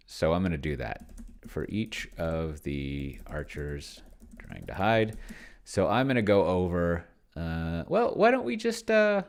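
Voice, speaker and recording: A man hosting a casual solo gaming session, thinking aloud and muttering to himself, sometimes slowing to dictate as he types. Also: low-pitched. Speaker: solo tabletop gamer and video host. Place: indoors at a computer, keyboard clicks behind.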